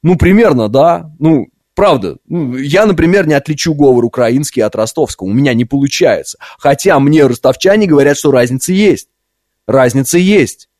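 Male talk-radio host, voice a bit high, conversational and opinionated with rhetorical questions, very dry studio sound. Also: animated, normal speed, a little mellow, no echo. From Orenburg region, Russia. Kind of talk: live opinionated radio monologue. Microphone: close studio microphone.

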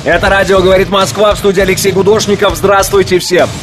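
A man's voice: high-pitched, little pitch movement, fast, over loud music. A man from Russia's Orenburg region hosting live talk radio, giving opinions conversationally, repeating points and asking rhetorical questions. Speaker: radio talk show host. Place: radio studio. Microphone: close studio microphone.

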